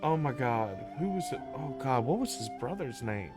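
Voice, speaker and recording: A man doing casual live stream commentary on a game, rambling and halting as he repeats his words. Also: medium pitch, sing-song. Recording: game music behind the voice.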